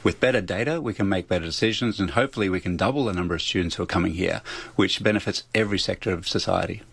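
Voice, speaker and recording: A very deep-voiced Australian man speaks at a rapid clip in a close, dry recording. He is a founder answering interview questions, laying out his case point by point and often asking questions he then answers himself. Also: vocal fry, a bit bright, breathy edge, dry recording. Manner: poses rhetorical questions and lists points. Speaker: startup founder being interviewed.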